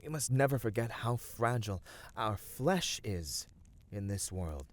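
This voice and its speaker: Slow, low-voiced, devoted fantasy adventurer played by a man. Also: animated. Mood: tender concern. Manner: slow, earnest, soothing, dramatic pauses. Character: gentle, devoted male Viera battle dancer, loyal adventuring companion. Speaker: voice actor. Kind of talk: ASMR fantasy roleplay monologue.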